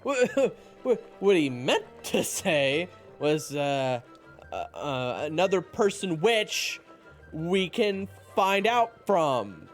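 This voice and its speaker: An earnest, excitable adventurer, played by a man in a fantasy audio drama. The delivery leaps about in pitch and gets flustered easily, with music clearly playing underneath. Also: very slow, heavily acted. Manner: excitable, stammering when flustered. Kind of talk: fantasy audio roleplay acting and narration. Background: music behind the voice.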